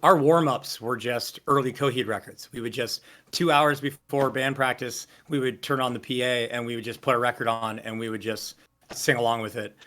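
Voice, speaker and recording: A low-voiced man tells stories in a loose, rambling way, stacking up fillers and restarting his sentences. His tone is bright and forward, and he swears easily. He is talking over a video call. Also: fairly even intonation, normal speed. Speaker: singer interviewee. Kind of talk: casual interview storytelling.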